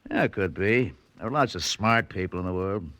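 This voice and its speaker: Gruff male lieutenant, terse, deep and hard-edged, vintage radio crime drama. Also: normal speed, a bit bright, dry recording. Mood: blunt, dismissive. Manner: terse, blunt. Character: gruff, amused police lieutenant, grudgingly fond. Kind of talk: 1940s radio drama acting.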